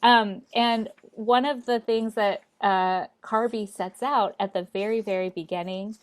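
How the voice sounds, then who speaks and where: A woman co-hosting a discussion, speaking in a measured way with some fillers and reading a quote aloud. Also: mid-range voice, fairly even intonation. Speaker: co-host. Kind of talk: conversational discussion.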